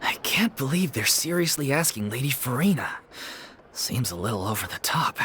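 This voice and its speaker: A man's voice: mid-range voice, sing-song, moderate pace, background noise, natural voice. A man playing an earnest, modest amateur theatre troupe member in an English video game dub, polite and hesitant. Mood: grateful, polite.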